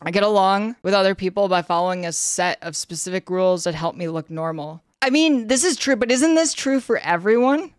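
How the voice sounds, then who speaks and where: A young Canadian woman on a casual livestream, reading questionnaire items aloud and answering in a flat, slightly monotone way, full of "like" and "um.". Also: medium pitch, brisk, hardly any pauses, clear, resonant tone, no echo, studio quality. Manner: flat, slightly monotone delivery with frequent fillers. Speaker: streamer. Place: indoor room, streaming setup.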